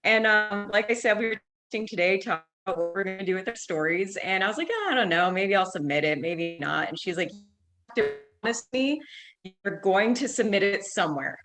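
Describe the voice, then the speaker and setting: A woman's voice: medium pitch, animated, fairly quick, music in the background. A woman guest telling casual anecdotes in an informal way, quoting both sides of conversations. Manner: informal, acts out reported dialogue. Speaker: writing course graduate, guest.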